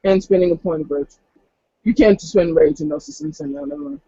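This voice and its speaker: Husky, creaky woman tallying dice. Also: mid-range voice, sing-song, fairly quick, warm tone, dry recording, highs cut off. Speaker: player in a tabletop roleplaying game. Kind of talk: casual game chat, counting dice aloud.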